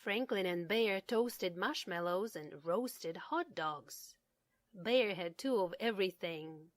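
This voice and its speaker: A woman's voice: mid-range voice, takes it slowly, no room sound, studio quality. A woman narrating a children's story as a read-aloud. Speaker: storybook reader.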